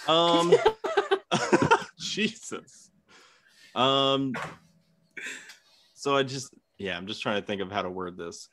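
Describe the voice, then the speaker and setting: A man's voice: mid-range voice, big pitch swings, slow, long pauses, pushed and bright, slight background noise. A young man giving his opinion in a casual, conversational debate. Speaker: debate contestant. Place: room at home, video call.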